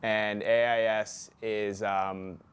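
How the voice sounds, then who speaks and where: Brief: sincere man, slow delivery, smooth low voice. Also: flat delivery.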